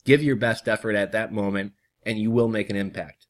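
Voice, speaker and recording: A hoarse young man who reads an article aloud on his vlog and drifts into loose, casual chatter full of fillers. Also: on the low side, steady tone, a little fast. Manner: reads steadily, then chats loosely with fillers. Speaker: vlogger.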